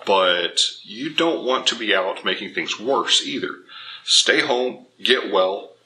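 A man in his thirties giving informal, animated, emphatic instruction to camera as a martial arts teacher. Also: lowish voice, takes it slowly, slight background noise, rough recording. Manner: animated, emphatic, acts out imagined objections. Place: indoor dojo with bare walls and wood floor.

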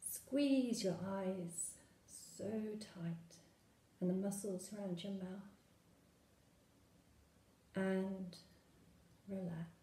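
Slow, mellow woman guiding a meditation. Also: mid-range voice, reverberant. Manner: soothing, gentle, with long pauses between short phrases. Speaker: meditation guide. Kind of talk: guided meditation.

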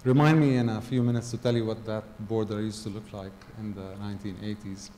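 A man's voice: lowish voice, flat delivery, warm tone, slight background noise. Middle-aged man speaking in a reverberant hall. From Gaza. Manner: halting, many ums and restarts. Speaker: conference speaker. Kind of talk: personal storytelling talk. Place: large hall with a stage. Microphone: handheld mic. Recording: long hall reverb, faint ambience.

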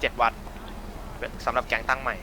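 Fast, sharp-voiced man in roleplay chat. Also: medium pitch, little pitch movement, slight background noise, poor audio. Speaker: gang boss player in a game roleplay.

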